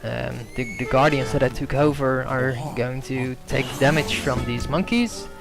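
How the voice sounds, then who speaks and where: A man's voice: medium pitch, unhurried, gravelly, music in the background. A young Dutch-native man giving hesitant gameplay commentary, full of ums and self-corrections.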